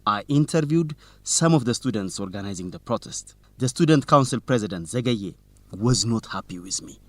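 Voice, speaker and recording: A man's voice: on the low side, animated, moderate pace, dry recording. An actor playing a determined, teasing young male student reporter in an educational radio drama, in plain, simple sentences. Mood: determined, suspicious.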